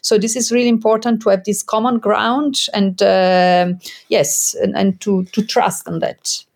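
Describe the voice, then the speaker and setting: A woman of middling pitch talks about her theater practice in an interview. She explains her ideas earnestly and carefully. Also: fairly even intonation, a little slow, vocal fry, darkish tone. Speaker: theater director and game co-creator. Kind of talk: conversational interview answer.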